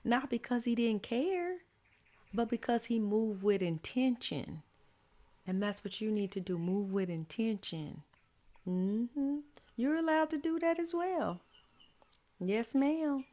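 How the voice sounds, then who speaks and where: Slow-talking raspy woman coach. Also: a little high, lively intonation, warm tone. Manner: slow, repeats key lines, affirming "mm-hmm" asides. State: voice a bit hoarse. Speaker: life coach. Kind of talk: encouraging faith-based coaching talk.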